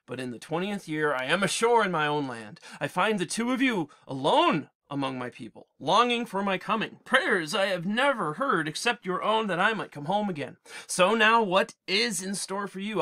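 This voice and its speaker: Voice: mid-range voice, pushed and bright, voice pushed up. A teacher reading aloud as a disguised old warrior king, firm and giving orders.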